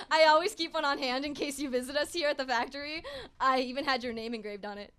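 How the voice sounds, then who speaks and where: A woman's voice: sits high, fast talker, fairly bright tone. A woman playing an anxious, adoring factory worker in a campy radio comedy. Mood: gushing. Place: small radio studio.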